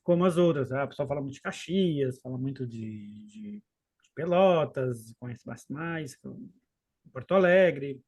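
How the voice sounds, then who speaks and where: Guy in his late 30s, faint gaúcho accent, mid-range voice, chatting slow and halting on a podcast, lots of restarts. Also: mid-range voice, a little mellow, breathy edge. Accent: faint southern Brazilian gaúcho accent. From Rio Grande do Sul, southern Brazil. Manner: unhurried, halting, with frequent restarts and trailing asides. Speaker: podcast guest and co-host. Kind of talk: casual storytelling in a podcast chat.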